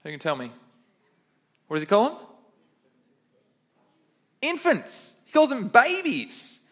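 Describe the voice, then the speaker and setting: A man's voice: very high-pitched, huge pitch swings, slow, a bit bright. A young man, a kids pastor, giving a chatty, upbeat children's sermon in church and quizzing the kids.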